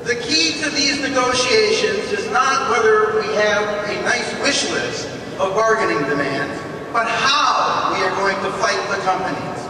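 A man giving an urgent, rallying floor speech as a delegate at a union convention. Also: high voice. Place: large convention hall. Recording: echoey hall heard from far off, noisy.

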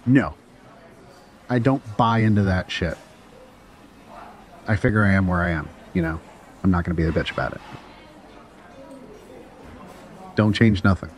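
A man's voice: low voice, normal speed, long pauses, lightly acted. A man playing a jaded, dismissive spacer chatting bluntly and offhand in an audio drama. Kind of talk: casual dialogue in an audio drama.

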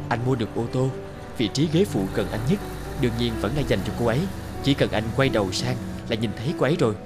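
An actor playing a courteous, devoted married office man in his thirties in a cartoon, polite and earnest in measured phrases. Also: mid-range voice, animated, over music, lightly acted. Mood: fond, earnest. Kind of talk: cartoon dub acting.

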